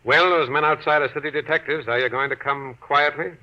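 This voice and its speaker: An actor playing a blunt, unyielding police sergeant in a 1940s radio drama, firm and businesslike. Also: male voice, on the high side, steady tone, a little fast, natural voice. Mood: firm, accusing. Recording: muffled vintage 1940s radio recording.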